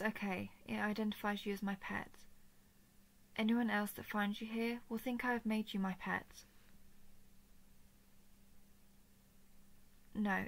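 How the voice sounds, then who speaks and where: A royal dragoness played by a woman speaks closely to her listener in an intimate audio drama. Her mid-pitched voice has plenty of creak. She is softly playful, tender and protective, with slightly formal phrasing. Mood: patient, reassuring. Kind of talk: intimate scripted audio roleplay addressed to listener.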